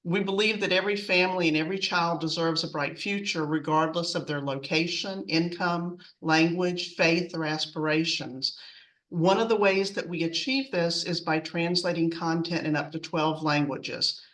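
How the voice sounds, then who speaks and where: A woman's voice: fairly low pitch, steady tone, normal speed, a bit bright, far from the mic, rough recording. A woman presenting in a semi-scripted, businesslike way as a customer speaker on a webinar.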